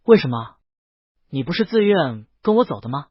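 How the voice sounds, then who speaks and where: Casting: timid, innocent young male dragon played by a man, slightly high and husky, hesitant, audio story dialogue. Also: sing-song, moderate pace, dry recording, lo-fi sound. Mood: meek, earnest. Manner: hesitant, meek, soft-spoken.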